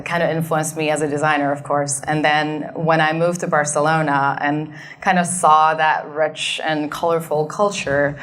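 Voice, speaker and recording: Low-voiced Finnish woman, relaxed and thoughtful. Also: a little hiss or hum. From Finland. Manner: relaxed, thoughtful, many fillers and restarts. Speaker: guest speaker, design manager. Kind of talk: onstage fireside chat. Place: event stage in front of an audience.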